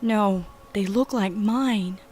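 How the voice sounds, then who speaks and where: A woman's voice: mid-range voice, slow pace, a little mellow, natural voice. An actress playing a frightened, sick young woman in a horror audio drama, urgent, agitated and pleading. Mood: anxious, shaken.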